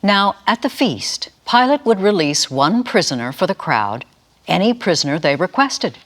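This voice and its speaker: A narrator giving a dramatic scripture reading in a measured, solemn manner. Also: mid-range voice, very animated, a bit bright.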